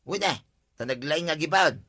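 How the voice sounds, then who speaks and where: A man's voice: fairly high pitch, moderate pace, sharp tone. A man with an Ilocano accent playing a henpecked, complaining village husband in a comedy drama.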